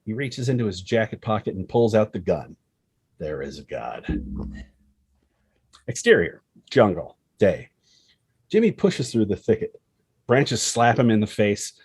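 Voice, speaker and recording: A man's voice: on the low side, sing-song, unhurried, pauses often, a little mellow, husky, dry recording. A man reading a screenplay's action lines as dramatic narration, expressively and in short punchy phrases. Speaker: screenplay reader.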